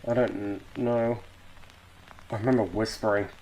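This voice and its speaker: A man's voice: lowish voice, fairly even intonation, normal speed, warm tone, a little hiss or hum, rough recording. A man doing a casual video reaction, reading captions aloud and muttering sweary asides. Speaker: YouTube reaction host.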